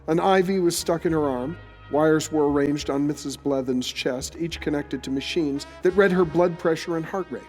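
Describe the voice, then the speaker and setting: Man as high-voiced storyteller with long reverb. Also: a little mellow, lightly acted. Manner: literary, deliberate, descriptive. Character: storytelling male narrator, confidant of the detective. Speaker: narrator. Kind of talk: scripted dramatic narration. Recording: long reverb, music under the voice.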